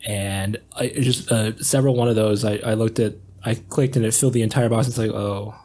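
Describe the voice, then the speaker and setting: A man talking casually and a little hesitantly, pausing mid-thought as he goes. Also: on the low side, fairly even intonation, warm tone, a little hiss or hum. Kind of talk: casual chat.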